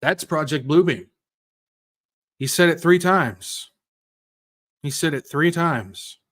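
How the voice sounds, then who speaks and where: A man's voice: on the high side, takes it slowly, fairly bright tone. A man hosting a commentary show, musing aloud with rhetorical questions and repeating points for emphasis. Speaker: host. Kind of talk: conspiracy commentary over played clips.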